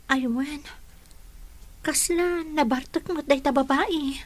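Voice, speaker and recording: A woman's voice: sits high, slow pace, dry recording. A woman with an Ilocano Filipino accent playing a sweet, trusting young girlfriend with a jealous streak in a radio drama, speaking earnestly and emotively. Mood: hurt, jealous.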